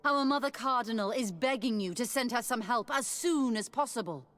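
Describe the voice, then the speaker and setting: A woman's voice: fairly high pitch, moderate pace, fairly bright tone, close to an everyday voice. An actress playing a solemn, devout female religious emissary in a video game, speaking formally. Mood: grave, respectful. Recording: reverberant hall.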